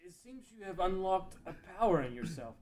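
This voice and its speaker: A man's voice: high-pitched, sing-song, a little fast, darkish tone, rough recording. Grave sage mentor played by a man. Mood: solemn. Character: grave, cautious sage mentor of a sacred realm. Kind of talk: dramatic table read of a fantasy game story.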